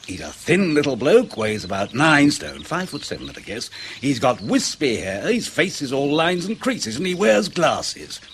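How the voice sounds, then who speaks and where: A man's voice: on the high side, very animated, normal speed, a bit bright, a little hiss or hum. An actor playing a wary, bitter male agent under debriefing in a radio drama, curt and sardonic. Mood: defensive.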